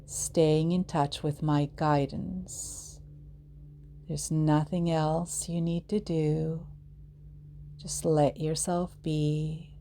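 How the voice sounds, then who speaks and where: Very slow, low-voiced woman guiding meditation. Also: level tone, mellow, slight background noise. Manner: soothing, measured, with long pauses between short phrases. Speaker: yoga nidra guide.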